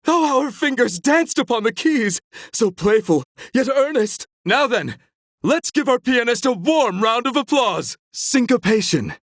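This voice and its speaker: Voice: mid-range voice, very animated, unhurried, no room sound, clean studio sound. An actor playing an effusive, theatrical concert host in a video game, with florid, grandiose phrasing. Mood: effusive, gracious. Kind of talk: video game character acting.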